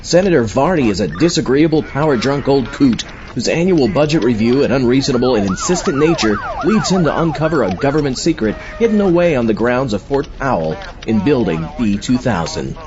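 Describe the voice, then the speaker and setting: A man's voice: mid-range voice, lively intonation, brisk, few pauses. A man hosting a show, reading a scripted introduction. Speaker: show host. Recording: dull lo-fi, clear crowd sound.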